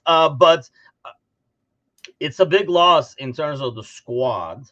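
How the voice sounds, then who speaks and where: A man co-hosting a casual sports podcast, talking informally and thinking out loud as he discusses. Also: a little high, expressive, takes it slowly, lo-fi sound. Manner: informal, conversational, thinking aloud. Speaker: podcast co-host.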